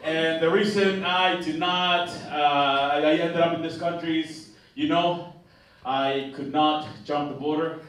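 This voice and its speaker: A man's voice: a little high, fairly even intonation, unhurried, a bit bright. A young man with a Mexican accent doing a stand-up set on a theatre stage, chatty and loose, stumbling over restarts and laughing at his own jokes. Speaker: stand-up comedian. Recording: echoey hall, distant mic, noisy.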